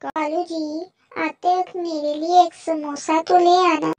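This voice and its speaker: High, nagging crow wife acted by a woman. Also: flat delivery, slow pace, a little mellow, full character voice. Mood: requesting. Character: crow's waiting, hungry, complaining wife, a female crow. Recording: muffled lo-fi cartoon audio with echo.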